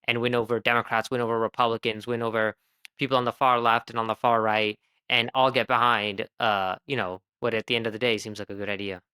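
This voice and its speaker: A man's voice: medium pitch, little pitch movement, fast, pushed and bright, smooth. A man co-hosting a podcast, talking things through in a thoughtful, reflective way. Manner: thoughtful, reflective, many "you know"s. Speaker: podcast co-host. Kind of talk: casual chat.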